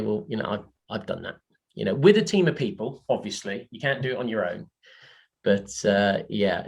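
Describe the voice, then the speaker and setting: A man, a panel guest who talks in a halting way with many restarts, over a video call. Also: on the low side, gravelly. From England.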